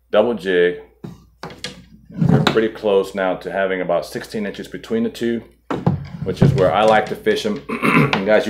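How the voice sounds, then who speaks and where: A man in his forties, host of a fishing channel, calmly walking viewers through tying a knot step by step, filmed indoors at a table. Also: lowish voice, background noise. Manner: calm, methodical, walks through each step. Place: indoor studio in front of a boat.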